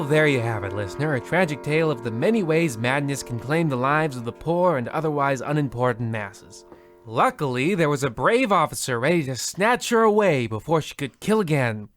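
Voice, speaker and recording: A man puts on a melodramatic horror-anthology radio host, pompous and petty. His slightly high voice moves with animated swings and sounds close and dry. Music plays behind him. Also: no echo. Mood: mock-solemn. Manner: mock-solemn, melodramatic, petty. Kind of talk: old-time radio horror host parody.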